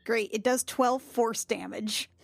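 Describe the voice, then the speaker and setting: A woman's voice: fairly high pitch, fairly even intonation, slow pace, gravelly, dry recording. Woman rpg player chatting casually, sweary and irreverent, close dry sound. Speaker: player in a tabletop role-playing game. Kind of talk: casual game-table chat.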